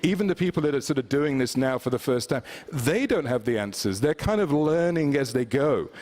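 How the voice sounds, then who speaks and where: A man's voice: medium pitch, expressive, brisk, a little mellow. A man presenting at a conference, rambling with many fillers and restarts. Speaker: conference speaker. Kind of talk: presentation talk. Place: conference hall.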